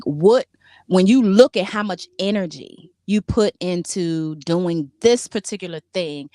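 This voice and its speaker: A woman co-host speaks in an earnest, encouraging way, often backing up her partner. Her voice sits a little low for a woman. The sound is dry and close. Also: a little mellow, dry recording. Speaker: co-host, trucker's wife. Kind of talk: casual podcast chat.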